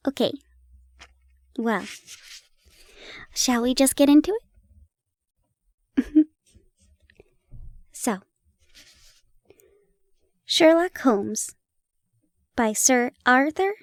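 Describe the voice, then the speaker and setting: High-voiced giggly woman reading aloud. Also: lively intonation, takes it slowly, long pauses, a little mellow, dry recording, clean studio sound. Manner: giggly, playful, speaks to the listener; stumbles over old place names. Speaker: audio roleplay performer. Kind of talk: intimate roleplay chat and reading aloud.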